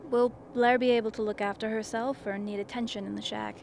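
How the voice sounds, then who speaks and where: A woman's voice: a little high, little pitch movement, a little fast, gravelly, a little mellow, a little hiss or hum. A woman playing a concerned, questioning expedition member in an amateur radio play.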